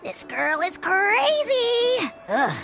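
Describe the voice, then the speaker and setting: A tiny self-proclaimed dragon lord from a fantasy game, very high and wildly swooping in pitch. Bombastic and scornful, the creature hurls insults over prominent music. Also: female voice, wildly swooping pitch, extremely slow, vocal fry, exaggerated voice. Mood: mocking. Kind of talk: video game voice acting.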